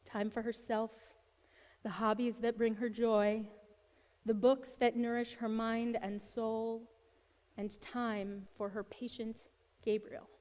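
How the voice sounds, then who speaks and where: A woman's voice: on the high side, little pitch movement, a little mellow. Woman leading a prayerful blessing. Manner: measured, prayerful cadence. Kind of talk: liturgical blessing. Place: sanctuary. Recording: long hall reverb.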